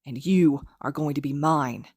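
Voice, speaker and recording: A woman's voice: low-pitched, fairly even intonation, quick pace, dry recording, clean studio sound. A woman reading a fanfic aloud, voicing a furious, domineering teenage boy who snarls and curses. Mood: low menace. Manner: snarling, cursing, shouting.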